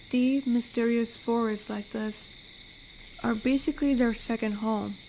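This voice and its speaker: A woman's voice: fairly high pitch, a little mellow, slight background noise, natural voice. A woman playing an amiable, teasing werewolf who protects a forest, speaking in an intimate, conversational roleplay drama. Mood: darkly playful. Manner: unhurried, teasing, conversational with pauses. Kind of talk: roleplay audio drama, intimate one-to-one address.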